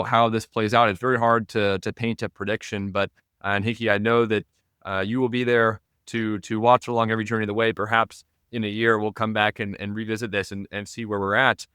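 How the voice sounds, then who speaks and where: A man's voice: on the low side, sharp tone, clear, resonant tone, dry recording, studio quality. A man hosting a podcast, chatting casually and joking with his guest. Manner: friendly, joking. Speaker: podcast host. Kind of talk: casual interview chat.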